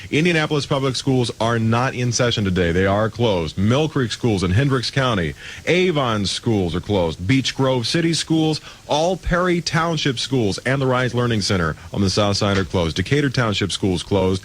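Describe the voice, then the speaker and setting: A man's voice: mid-range voice, expressive, normal speed. An American man hosting a morning radio show, chatting and reading announcements live in a breezy, joking, informal way. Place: radio studio. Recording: radio aircheck with music underneath. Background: music.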